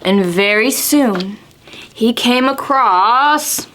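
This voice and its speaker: A woman reading a picture book for preschoolers as a storytime presenter, with deliberate phrasing and dramatic pauses. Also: fairly high pitch, expressive, a bit bright, slight background noise.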